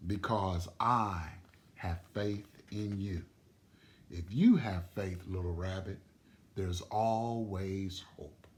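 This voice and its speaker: A man voicing a gentle, loving old grandmother rabbit in a storybook, soft, slow and tender. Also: sits low. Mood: soft, reassuring. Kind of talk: storybook reading aloud.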